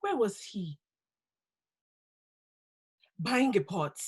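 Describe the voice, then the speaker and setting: Woman acting a wounded, slow-speaking, husky wife. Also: medium pitch, big pitch swings, darkish tone, full character voice. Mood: indignant. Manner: deliberate, halting, impassioned delivery. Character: wounded, bitter wife who survived violence and rejection. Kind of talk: dramatic stage monologue reading.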